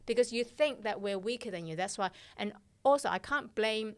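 A blunt, animated middle-aged woman tells stories on a podcast, swearing freely and acting out every exchange. Her voice sits at an ordinary middle pitch. She speaks close to a studio mic in a small studio. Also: moderate pace, clean tone. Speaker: podcast guest, fashion director. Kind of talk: casual interview storytelling. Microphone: studio mic on arm, headphones.

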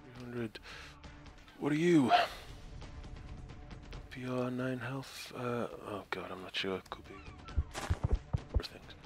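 A man's voice: medium pitch, takes it slowly, long pauses. A man thinking aloud and commentating his own strategy game match with wry asides. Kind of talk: casual gameplay commentary. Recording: game music behind the voice. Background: game music.

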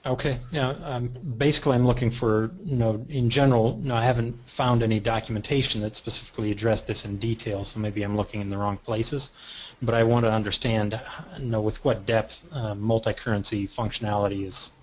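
A man's voice: on the low side, fairly even intonation, fairly quick, low-quality recording. Hesitant man asking a question. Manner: hesitant, searching for words, many "you know".

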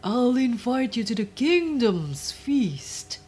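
A woman voicing a merry, amused king in a children's fairytale, with a grand, deliberate manner. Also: fairly high pitch, very animated, darkish tone, a little hiss or hum. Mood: merry, generous. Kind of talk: children's storybook narration.

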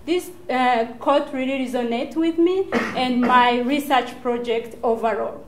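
Rwandan accent woman giving a research talk, kinda high voice, earnest, roomy echo. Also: a little slow, slight background noise. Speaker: doctoral student presenting research. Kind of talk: prepared public research talk. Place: small indoor lecture room, standing before a screen. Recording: echoey lecture room.

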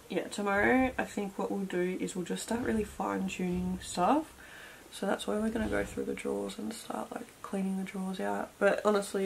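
A woman's voice: medium pitch, vocal fry, darkish tone. A young woman in her twenties, chatting casually while tidying her room, rambling with lots of fillers. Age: 20s. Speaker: beauty vlogger. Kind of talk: casual clean-with-me chat. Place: cluttered bedroom beauty room, indoors. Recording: noisy home camera audio.

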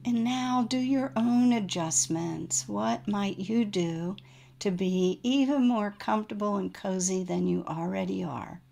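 A woman guiding a relaxation meditation as a yoga nidra teacher, in a gentle, soothing manner with unhurried pauses. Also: mid-range voice, gravelly.

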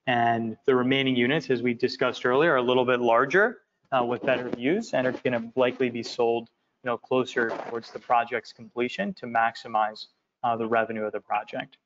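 A man walking through presentation slides for an investment project, talking in a halting way with many fillers and repeated words. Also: medium pitch, fairly bright tone. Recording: muffled lo-fi audio.

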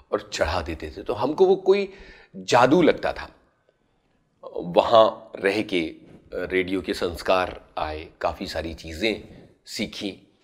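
A man in his forties, a radio host, recounting a memory and then reciting a rural poem in an expressive, sing-song way, recorded indoors on a lapel mic. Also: on the low side. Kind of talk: storytelling poetry recitation. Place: indoor studio room.

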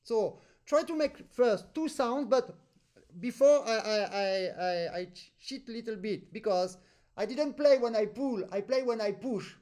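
A man with a French accent, a native French speaker, teaching an accordion master class over a video call, animated and pausing deliberately between phrases. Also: sits high. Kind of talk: online master class instruction.

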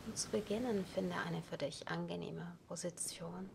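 A woman yoga teacher softly whispering a guided relaxation, calm and unhurried. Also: lowish voice, creaky, noisy background. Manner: soft whispered, slow and calm. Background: ocean waves.

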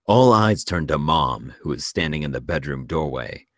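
A man narrates a screenplay in a very deep voice. He reads out scene and action directions as scripted narration. The sound is dry, with little room. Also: animated, moderate pace, fairly bright tone, dry recording. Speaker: narrator reading stage directions.

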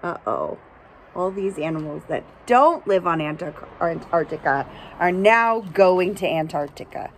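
Unhurried, animated woman librarian reading aloud. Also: on the low side, a little hiss or hum, poor audio. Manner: explains simply, checks in with listeners. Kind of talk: children's read-aloud with asides. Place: outdoors under trees by a house.

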